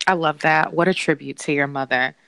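Low-voiced woman from chicago's south side, cohosting a podcast, emphatic and lively. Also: brisk, creaky. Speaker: podcast co-host. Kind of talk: podcast interview.

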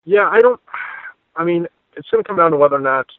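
A man's voice: on the high side, expressive, fast talker, dry recording. A man giving conversational sports analysis as a guest, talkative and repeating words as his thoughts form. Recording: tinny phone-line audio.

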